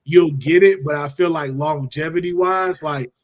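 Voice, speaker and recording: Male American panelist, fairly high voice, casual and opinionated, repeats points for emphasis. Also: moderate pace. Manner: colloquial, slangy, emphatic repetition. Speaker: podcast panelist judging picks.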